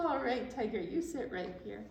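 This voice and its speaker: A woman's voice: a little high, animated, normal speed, creaky, a little mellow. An American woman hosting an online storytime, giving preschoolers encouraging instructions and asking them questions. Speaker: children's storytime host. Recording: distant mic in echoey room, faint noise.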